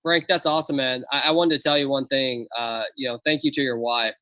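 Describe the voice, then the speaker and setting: Upbeat guy hosting a live call, bright dry sound, lots of um and uh. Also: medium pitch, steady tone, brisk, no room sound. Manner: upbeat, reassuring, many fillers. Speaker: host of a live online business call. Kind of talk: casual live-stream chat.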